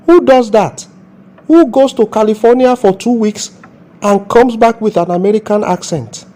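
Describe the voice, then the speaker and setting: Male actor doing a Nigerian policeman, mellow dark timbre, zealous, scornful, self-important, comic skit. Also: sits high. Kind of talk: comedy skit acting.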